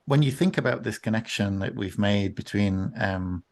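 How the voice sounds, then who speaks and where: A man's voice: fairly low pitch, normal speed, a little mellow. A man hosting a panel, asking long, polite, winding questions over a video call. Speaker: panel host, moderator. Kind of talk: moderating a panel discussion.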